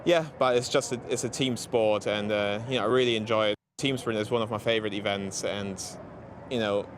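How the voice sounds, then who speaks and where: A man with a German accent talks in a low voice, stopping and restarting often with plenty of fillers. He is recorded outdoors, with faint street and traffic noise behind him. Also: steady tone. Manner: halting, many fillers and restarts. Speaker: interviewed cyclist. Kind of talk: post-race interview.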